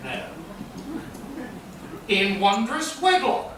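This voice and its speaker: A man's voice: high-pitched, sing-song, extremely slow, fairly bright tone, a little hiss or hum, full character voice. A man playing a scheming, cowardly young hustler under his mother's thumb, talking theatrically. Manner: theatrical, fretful, sings comic patter. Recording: echoey room from far off, music.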